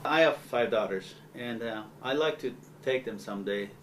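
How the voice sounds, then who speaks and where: A man from Afghanistan with an Afghan accent, talking slowly and thoughtfully in an interview. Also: medium pitch, fairly bright tone, a little hiss or hum. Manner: slow, thoughtful, hesitant. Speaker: interviewee, father.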